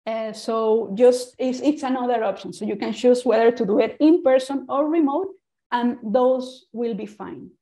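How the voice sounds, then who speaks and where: A woman from Venezuela speaks English with a Venezuelan Spanish accent. Her voice sits fairly high and has a warm, mellow tone. She presents earnestly and informally, often restarting and repeating words as she goes. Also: normal speed. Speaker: speaker on usability testing.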